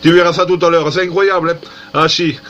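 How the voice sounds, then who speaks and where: A man's voice: a little high, fairly even intonation, fast, fairly bright tone. A man chatting casually as an amateur radio operator, amazed and repeating himself. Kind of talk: casual CB-style radio contact. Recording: dull lo-fi radio contact recording.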